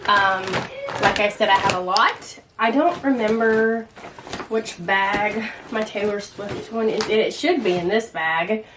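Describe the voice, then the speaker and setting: Young Southern American woman for a home vlog; chatty, slangy, rambling delivery, ordinary mid pitch, with household noise behind her. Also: measured pace. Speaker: book and craft vlogger. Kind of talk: casual vlog chat.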